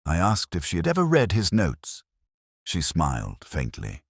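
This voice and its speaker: A man reading a scripted first-person mystery story in a measured, solemn, literary manner. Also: low-pitched, huge pitch swings, gravelly, husky, no echo, clean studio sound. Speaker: narrator.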